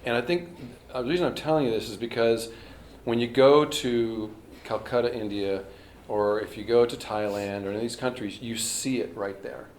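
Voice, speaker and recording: A man's voice: medium pitch, steady tone, moderate pace. Man, nonprofit director, presenter, hesitant, casual, echoey room, faint hiss. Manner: hesitant, restarts, self-deprecating. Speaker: nonprofit executive director, speaker. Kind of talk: informal presentation. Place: college event room.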